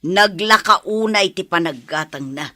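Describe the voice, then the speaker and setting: A woman's voice: on the low side, unhurried, pushed and bright, dry recording, clean studio sound. A woman with an Ilocano accent playing an aggrieved villager in a radio drama. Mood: indignant. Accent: Filipino, Ilocano. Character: aggrieved adult villager haggling over produce prices.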